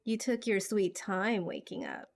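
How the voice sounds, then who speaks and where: A woman's voice: medium pitch, measured pace, creaky, a little mellow, slight background noise. A woman voicing a teasing but concerned older-sisterly young woman in game cutscenes. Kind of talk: amateur game cutscene voice acting. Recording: music behind the voice.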